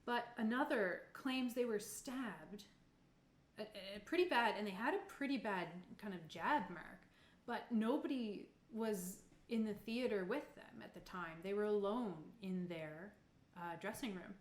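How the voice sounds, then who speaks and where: A woman's voice: medium pitch, measured pace, close to an everyday voice. Woman acting male theatre owner, anxious, hesitant, rambling, tabletop game, roomy echo. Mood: worried, unsure. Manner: hesitant, rambling, self-correcting. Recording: roomy, echoey sound.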